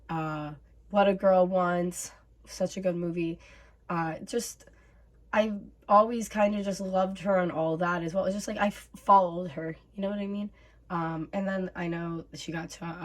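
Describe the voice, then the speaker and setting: Raspy-voiced young woman vlogging casually. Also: medium pitch. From North America. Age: 20s. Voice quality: casual, rambling with fillers. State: raspy from bronchitis. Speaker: YouTube host. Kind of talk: casual reaction vlog.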